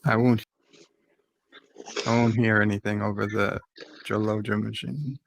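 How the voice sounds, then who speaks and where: A man's voice: on the low side, level tone, measured pace, creaky, dry recording, rough recording. A man talking casually on a voice call in a mild, reassuring way. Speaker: stream chat participant. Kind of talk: casual chat.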